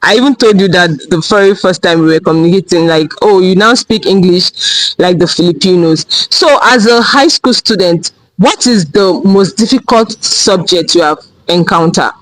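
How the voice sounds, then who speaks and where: A young man with a Nigerian accent leads a casual interview. His voice sits high, and he keeps his questions loose and chatty. The recording is dry, with no room sound. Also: normal speed, no echo, rough recording. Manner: relaxed, chatty questions with frequent "like" fillers.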